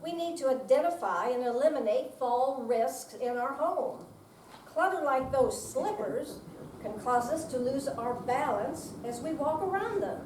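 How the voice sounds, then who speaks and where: High, unhurried earnest safety visitor played by a woman. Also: lively intonation, a little mellow. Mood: earnest, instructive. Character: earnest home-safety visitor pointing out fall risks. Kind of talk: readers theater dramatic reading. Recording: roomy, distant, clearly noisy hall recording.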